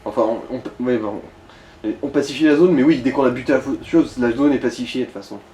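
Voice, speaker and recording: Guy doing let's play chat, relaxed dark voice, crude slang, room echo. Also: medium pitch, fast talker, a little hiss or hum. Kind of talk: casual gaming commentary chat. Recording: roomy, echoey sound.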